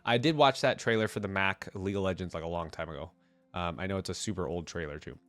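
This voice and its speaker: A man with a fairly low voice, stuffed up from a cold, hosts a casual game reaction. He thinks aloud in loose, slangy language. The sound is very dry, with hardly any room on it. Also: fast talker, a bit bright, no echo. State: congested from a cold. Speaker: video game reaction host. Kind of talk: casual off-the-cuff reaction commentary.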